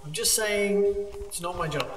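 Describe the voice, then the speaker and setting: A man's voice: high voice, normal speed, fairly bright tone. A man playing a cautious, reluctant cave explorer in an audio drama, anxious, hesitant and stammering. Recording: echoey cave-like reverb, distant, faint noise.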